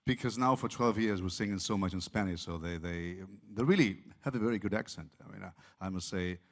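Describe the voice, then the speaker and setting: Man, low voice, hesitant, repeats words, interview guest. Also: brisk. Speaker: singer in a vocal group. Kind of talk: casual interview answers.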